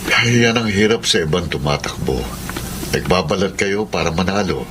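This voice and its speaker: An actor playing a grave, principled older brother and political candidate in a Filipino radio drama, speaking soberly. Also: male voice, sits low, moderate pace, background noise, lightly acted. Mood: grave, concerned.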